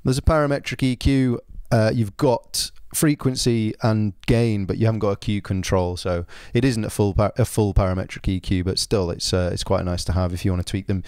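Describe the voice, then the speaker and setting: A man giving an informal spoken product review, explaining things in a measured, understated way. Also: fairly low pitch, fairly even intonation, a little mellow, clean tone, no echo, clean studio sound.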